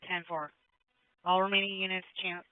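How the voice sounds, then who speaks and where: A male police dispatcher giving brisk, procedural acknowledgements over the radio. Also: sits high, level tone. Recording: tinny police radio with static hiss.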